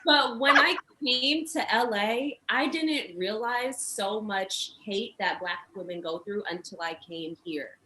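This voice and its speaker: A woman from Washington, D.C., co-hosting a casual podcast chat and telling stories with lively confidence. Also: mid-range voice, slow, hardly any pauses, a little hiss or hum, poor audio. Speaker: podcast co-host.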